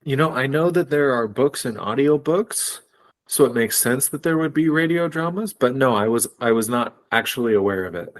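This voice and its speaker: A man's voice: medium pitch, expressive, moderate pace. A man co-hosting a casual podcast, reacting and prompting in an agreeable, curious way. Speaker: podcast co-host.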